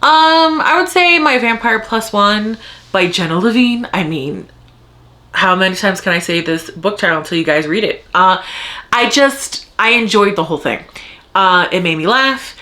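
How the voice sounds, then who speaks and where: A woman's voice: medium pitch, expressive, normal speed, bright, forceful tone. Chatty, rambling woman book vlogger. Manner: rambling, self-interrupting, thinking aloud. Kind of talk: casual chat to viewers, answering tag questions.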